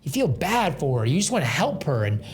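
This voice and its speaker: A man's voice: fairly high pitch, big pitch swings, a little fast, faint music behind. A young man giving casual, slangy commentary on a video game, rambling and playfully dramatic.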